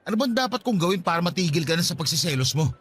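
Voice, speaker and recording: A man in a radio-style drama playing a defensive, exasperated young husband who answers with rapid, incredulous retorts. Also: high voice, sing-song, a bit bright, slightly rough, heavily acted.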